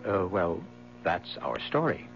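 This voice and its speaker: A man hosting a radio mystery drama with a scripted introduction, measured, formal and wry. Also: sits low, very animated, muffled recording, slight background noise. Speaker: host. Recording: band-limited 1970s radio recording.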